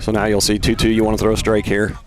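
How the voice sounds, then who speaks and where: A man's voice: lowish voice, monotone, fast. A man giving casual color analysis during a live radio baseball broadcast outdoors. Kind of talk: casual sports analysis commentary.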